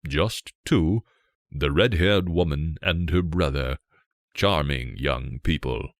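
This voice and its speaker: A man voicing a hearty, gracious older king in a fantasy storybook, with a deep voice and stately, slow delivery. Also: no room sound, studio quality, heavily acted. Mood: genial, commanding.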